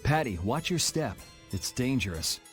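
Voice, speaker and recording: A man's voice: medium pitch, sing-song, moderate pace, lightly acted. A man voicing a cool, protective young swordsman in a video game, casual and matter-of-fact. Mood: easygoing. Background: background music.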